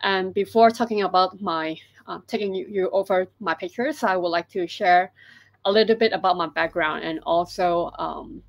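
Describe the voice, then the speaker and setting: A middle-aged woman with a Hong Kong accent talks over a laptop video call from her living room. Her voice sits fairly low and has a gravelly edge. She speaks haltingly, stopping often for fillers and restarts, and the sound is dry and close. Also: no echo. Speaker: photographer presenting her project. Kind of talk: webinar presentation.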